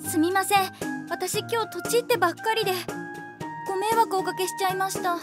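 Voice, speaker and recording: An actress playing a sweet, apologetic young rookie, meek and cutesy, in an anime-style voice drama. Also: female voice, very high voice, steady tone, brisk, loud music behind the voice. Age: young adult.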